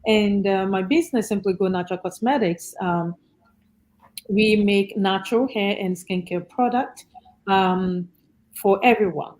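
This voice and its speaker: A woman with a Ghanaian accent introducing herself conversationally as a panelist, in a halting, thoughtful way. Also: medium pitch, darkish tone. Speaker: panelist, entrepreneur.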